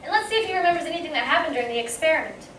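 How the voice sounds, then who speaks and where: A woman's voice: sits high, expressive, racing, a bit bright. A woman playing an eager, wide-eyed young girl soldier in an old-time radio serial reading. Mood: curious, pleased. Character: Wilma Deering, bright keen young girl soldier. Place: community playhouse stage, live reading. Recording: roomy, distant mic, faint noise.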